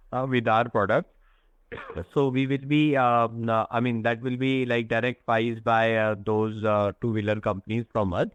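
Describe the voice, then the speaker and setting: A man, a company executive explaining results to analysts in a halting, candid way. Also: medium pitch, clear, resonant tone, no echo. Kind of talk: earnings call answers.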